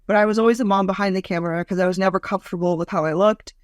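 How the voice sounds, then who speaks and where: A woman in her forties with a notably deep voice, talking as a guest in a relaxed interview. She tells stories with a sly, mocking edge and slips into quick impressions. The sound is close and dry. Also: dry recording. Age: 40s. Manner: lively, storytelling, mocking asides. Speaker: guest, comedian. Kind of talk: casual interview chat.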